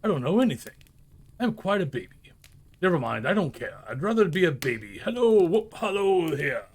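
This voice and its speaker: An overjoyed old reformed miser from a storybook, acted out by a man, gushing like an excited child. The pitch leaps and swoops freely as he whoops and exclaims breathlessly. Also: moderate pace. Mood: elated. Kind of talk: dramatised storybook reading.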